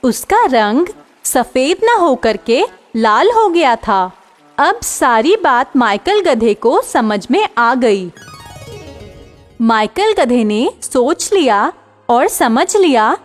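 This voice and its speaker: Slow, lively woman storyteller. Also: high voice, in a large hall. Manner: slow, clear, expressive storytelling. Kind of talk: scripted narration of a children's story.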